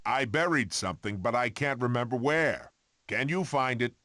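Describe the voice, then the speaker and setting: An actor playing a cocky, playful prehistoric animal in an animated video game. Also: male voice, medium pitch, moderate pace, slight background noise. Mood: coaxing.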